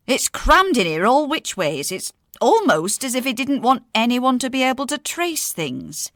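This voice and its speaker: Woman acting sardonic female weaver, Northern English accent, dry wit, lively intonation, close dry sound. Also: sits high, a little slow, no room sound, exaggerated voice. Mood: dry exasperation. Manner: dry, blunt, colloquial. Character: blunt, sardonic female weaver helping the queen. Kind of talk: comedy audio drama.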